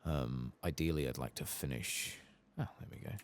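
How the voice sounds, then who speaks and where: A soft-spoken man giving rambling ASMR commentary as he plays a game, sometimes dropping into a whisper. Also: very deep voice, moderate pace. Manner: rambling, musing to himself; often drops into whispers. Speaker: host of a gaming playthrough channel.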